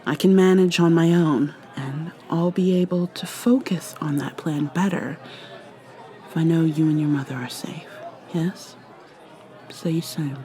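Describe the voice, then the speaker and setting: A woman playing an ancient vampire mermaid in an ASMR roleplay, whispering softly and haltingly with a wistful manner. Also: deep voice, steady tone, a faint crowd. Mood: tender, urgent. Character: ancient female vampire mermaid, guarded and sorrowful.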